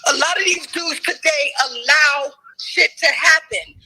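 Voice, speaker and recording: A woman's voice: high voice, measured pace, poor audio. A woman arguing in a heated debate, emphatic and challenging, and losing her voice. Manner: forceful, emphatic, challenging questions.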